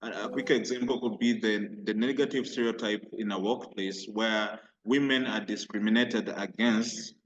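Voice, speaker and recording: A man's voice: lowish voice, steady tone, normal speed, hardly any pauses, muffled recording. A man giving a class presentation, often repeating words as he searches for them. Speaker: student presenter.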